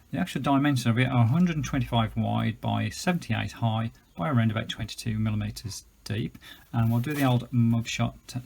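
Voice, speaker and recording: A man's voice: medium pitch, gravelly, a little mellow, slight background noise. A British man giving a chatty, enthusiastic, unscripted gadget review, explaining things as he goes. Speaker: product reviewer.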